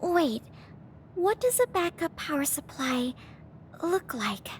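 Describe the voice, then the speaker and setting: A woman's voice: very high voice, sing-song, slow pace, a little hiss or hum, exaggerated voice. A woman playing a cheerful, earnest young fire-wielding teammate in an anime game dub. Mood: bright, excited. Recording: background music under the voice.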